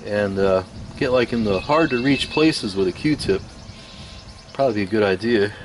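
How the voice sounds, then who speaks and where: Clear background noise sits behind a man with a fairly low voice. He talks casually through hands-on restoration work. His delivery is unhurried and hesitant, as if thinking out loud. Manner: unhurried, hesitant, musing aloud. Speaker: radio restoration hobbyist.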